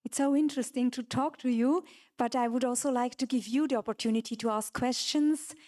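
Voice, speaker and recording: A woman moderating a public panel, a non-native English speaker, friendly and filling her pauses with "um". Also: high-pitched, dark tone. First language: not English.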